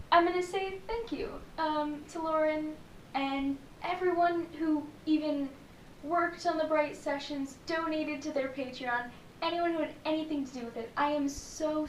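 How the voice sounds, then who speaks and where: A woman's voice: fairly even intonation, measured pace. A woman giving a casual, heartfelt personal message as a fan. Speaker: podcast fan giving a testimonial. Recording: echoey room, faint background rumble.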